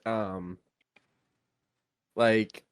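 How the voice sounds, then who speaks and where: A congested man co-hosts a relaxed podcast, wandering through stories with frequent ums and restarts. His voice sits a bit low. The sound is close and dry, with no room echo. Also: extremely slow, smooth. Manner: rambling, filler-heavy, lots of ums. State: sniffly, congested. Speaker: podcast co-host. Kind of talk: casual chat.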